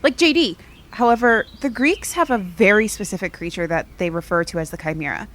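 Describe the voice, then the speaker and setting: A woman's voice: mid-range voice, expressive, brisk, a bit bright. A woman playing a level-headed, knowledgeable young woman in an audio drama, speaking in a dry, matter-of-fact way. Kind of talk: audio drama dialogue, conversational.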